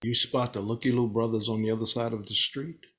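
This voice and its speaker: A man playing an impatient police investigator in a script table read, with a husky, exasperated delivery. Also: medium pitch, steady tone, brisk, fairly bright tone. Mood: exasperated, guarded.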